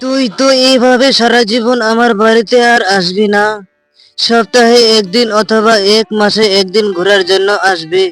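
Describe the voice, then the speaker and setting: A voice actor playing a frail elderly village mother in a cartoon, talking slowly and fretfully. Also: mid-range voice, steady tone, dry recording, soft background music, natural voice. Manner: slow, fretful, gently scolding. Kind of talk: cartoon voice acting.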